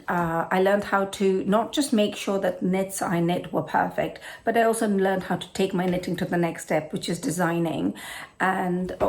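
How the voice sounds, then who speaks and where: A British woman with a hoarse voice, hosting a knitting and travel channel and rambling through a casual introduction with many fillers and self-corrections, recorded on a simple camera setup. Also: lowish voice, a little mellow. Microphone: non-professional camera setup.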